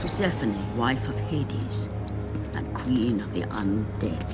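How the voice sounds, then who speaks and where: An actor narrating a mythological game story in a solemn, theatrical, scripted manner as a grave storyteller. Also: male voice, on the low side, very animated, takes it slowly, over loud music. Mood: solemn, ominous. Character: grave storyteller of ancient myth, male.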